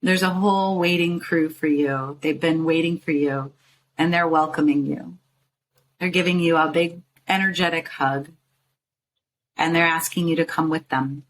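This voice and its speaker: A woman leading a guided visualization in a soothing, gently coaxing way, with short phrases and pauses. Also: low-pitched, fairly even intonation, a little hiss or hum, poor audio. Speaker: meditation guide and show host.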